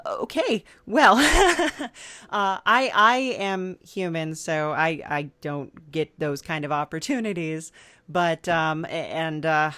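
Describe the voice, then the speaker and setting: A woman hosts an improvised comedy interview, talking slowly and haltingly with lots of fillers. Her voice sits a little low and the recording is close and dry. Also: sharp tone, dry recording. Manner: hesitant, many fillers, playing along with mock seriousness. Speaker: podcast host.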